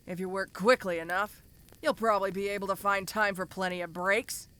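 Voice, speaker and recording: A woman's voice: mid-range voice, fairly even intonation, fairly quick, bright, forceful tone, natural voice. A woman voicing a domineering giant ogre mercenary in an audio roleplay, speaking to the listener in a casual, commanding, teasing way. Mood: reassuring, teasing. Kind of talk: first-person audio roleplay addressed to the listener.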